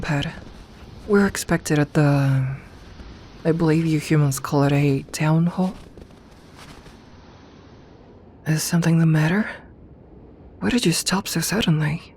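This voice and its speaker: A woman playing an imperious serpent queen in an ASMR audio roleplay, speaking formally and with measured dignity, commanding yet tender toward her companion. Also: low-pitched, a little mellow. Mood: calm, matter-of-fact. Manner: regal, formal, measured speech. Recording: ambient noise behind the voice.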